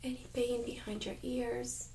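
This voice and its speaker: A young woman speaking in a hushed, gentle, often whispery way, playing a medical examiner in a soft-spoken ASMR role-play. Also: fairly high pitch, little pitch movement, unhurried, slight background noise, low-quality recording. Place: small indoor room set up as exam room.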